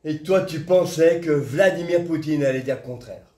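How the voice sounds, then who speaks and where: Guy doing teasing french buddy in a play, bit high voice, echoey and far off. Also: moderate pace, warm tone, lightly acted. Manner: teasing questions. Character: French male friend, teasing, incredulous. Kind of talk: stage play excerpt.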